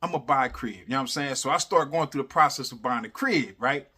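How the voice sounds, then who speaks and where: A man casually telling a funny personal story in animated, slangy talk, repeating phrases for emphasis and constantly checking in with the listener. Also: medium pitch, fast talker, slightly rough. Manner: animated, slangy, repeats phrases for emphasis, often adds "you know what I'm saying". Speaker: storyteller, host of a story series.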